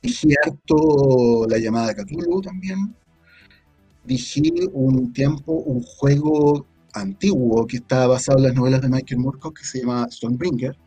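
A Chilean man talking casually as an interview guest, halting and thinking aloud as he goes. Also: mid-range voice, warm tone, husky, no room sound. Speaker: guest, audio drama creator. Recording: faint music behind. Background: faint music.

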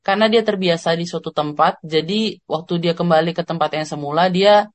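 An Indonesian man talks casually as a podcast guest. His voice sits a little high for a man and sounds dry and close, with no room around it. He speaks in a loose, slangy way, pausing on fillers. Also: dry recording. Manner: relaxed, slangy, with hesitant fillers. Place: living abroad, on a call.

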